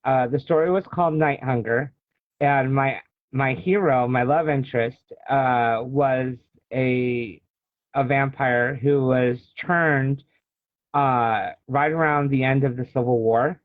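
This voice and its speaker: American man, mid-pitched voice, casual storytelling interviewee, phone-like narrow audio, dry. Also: steady tone, takes it slowly, no echo. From United States. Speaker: guest author. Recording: thin phone-like call audio.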